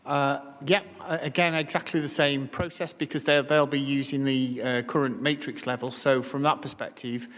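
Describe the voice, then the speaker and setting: A man explains policy in a measured, careful way, often restarting his sentences. His voice sits in the middle of the male range and carries a long, reverberant ring from the council chamber. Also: medium pitch, steady tone, fairly bright tone. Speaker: council officer answering questions. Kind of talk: formal meeting explanation.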